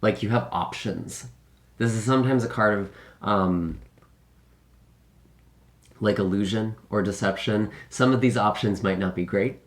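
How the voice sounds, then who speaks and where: Deep-voiced man, slightly raspy. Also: measured pace, pauses often. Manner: musing, thinks aloud with many hedges and restarts. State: slightly raspy from a recent illness. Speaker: tarot reader. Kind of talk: casual tarot reading.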